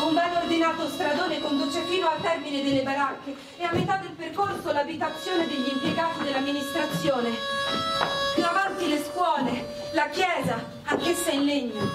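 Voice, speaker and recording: A high-voiced woman refugee of wartime played by an actress tells her story in measured, literary phrases, as in a radio drama. She sounds set back from the mic, with loud guitar music around her. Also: far from the mic, close to an everyday voice. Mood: descriptive. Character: distressed woman war refugee recounting her deportation. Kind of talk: dramatised diary monologue for radio.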